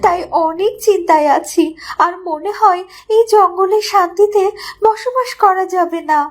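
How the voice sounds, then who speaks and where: A sorrowful little mother bird from a cartoon, played by a woman, with a very high voice and a plaintive, worried delivery. There is faint music underneath. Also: a little slow. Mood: sad, worried. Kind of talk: children's cartoon voice acting.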